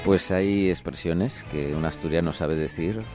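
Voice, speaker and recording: Low-voiced joking male radio host. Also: unhurried, music in the background. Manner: jokey, chatty, teasing the co-hosts. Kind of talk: casual radio chat.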